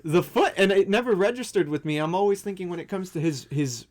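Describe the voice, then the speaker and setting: A man's voice: sits high, expressive, quick pace, a little mellow. A man hosting a casual, jokey film chat with rambling, sweary delivery. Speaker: podcast host.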